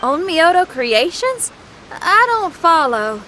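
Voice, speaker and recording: Bright, high-voiced young adventurer. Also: female voice, sing-song, takes it slowly, slight background noise, exaggerated voice, poor audio. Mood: casual, puzzled. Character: spirited, casual young woman adventurer, friendly and direct. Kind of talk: animated video game character acting.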